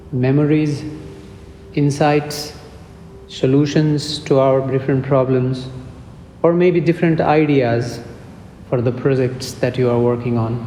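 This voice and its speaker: A man leading a guided meditation as its teacher, speaking in a measured way with pauses between short phrases. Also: medium pitch, dark tone. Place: indoor group meditation session. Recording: roomy hall reverb with background noise.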